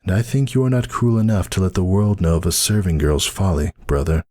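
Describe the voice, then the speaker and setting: A man's voice: low-pitched, lively intonation, fairly quick, dark tone, voice pushed up. A man voicing a weary but defiant pegasus mother, speaking earnestly in a dramatic story reading. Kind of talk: dramatic fan fiction reading.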